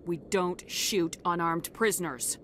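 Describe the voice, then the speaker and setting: A woman's voice: lowish voice, measured pace, noisy background, lightly acted. An actress voicing a firm, principled female military commander in a video game, direct and commanding. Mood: stern.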